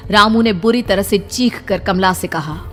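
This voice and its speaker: A woman's voice: mid-range voice, unhurried, faint music behind. A woman narrator reading a scripted horror story in a dramatic style.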